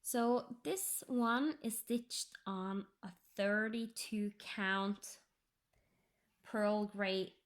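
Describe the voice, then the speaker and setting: A Norwegian woman, English her second language, chatting softly about her cross-stitch project and often dropping into a whisper. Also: mid-range voice, fairly even intonation. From Norway. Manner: slow, soft-spoken, drifting into whispers. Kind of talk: casual tutorial chat.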